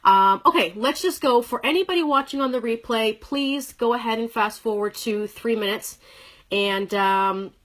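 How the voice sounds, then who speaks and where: A woman's voice: on the high side, a bit bright. A woman in her thirties from Canada, talking casually from a corner of her living room in a livestream, upbeat and chatty, greeting commenters by name and sharing her story as a sales presenter. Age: 30s. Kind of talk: casual livestream talk to viewers.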